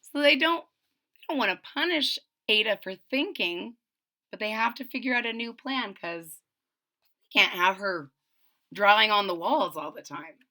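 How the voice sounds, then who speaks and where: A woman's voice: medium pitch, normal speed. A woman reading a rhyming children's picture book aloud, with chatty asides to her listeners. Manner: expressive, chatty asides to listeners between rhymes. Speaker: read-aloud storyteller.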